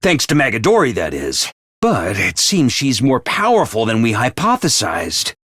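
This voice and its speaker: A man voicing a brisk, ruthless academy commander in a video game, barking orders and announcements. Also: medium pitch, huge pitch swings, a bit bright, dry recording, clean studio sound. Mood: analytical. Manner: clipped orders and announcements, drill-sergeant bark. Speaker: voice actor in a game.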